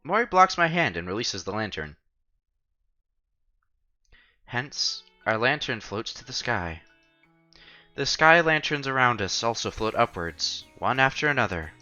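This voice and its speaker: A man's voice: medium pitch, sing-song, a little slow, pauses often, sharp tone, dull audio, soft background music. A man narrating a visual novel aloud as a streamer, expressively and acting out the dialogue.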